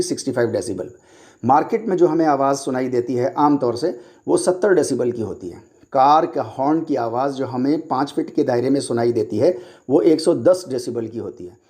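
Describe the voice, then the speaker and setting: A man's voice: mid-range voice, lively intonation, moderate pace, mellow. A man lecturing on religion in a didactic way, explaining step by step and repeating key points for emphasis.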